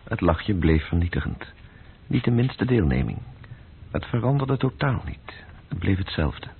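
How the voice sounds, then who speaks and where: Serious radio play narrator, low and really gravelly-husky, old dull recording. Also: male voice, very animated, unhurried, exaggerated voice. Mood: measured, intent. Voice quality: very husky, deep. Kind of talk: scripted literary narration.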